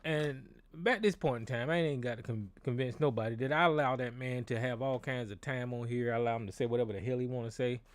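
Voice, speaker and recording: A man's voice: mid-range voice, fast talker, hardly any pauses, no echo. A man hosting a call-in show, talking bluntly and with a lot of profanity, often restarting his sentences. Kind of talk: casual call-in show monologue. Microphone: desk microphone with board.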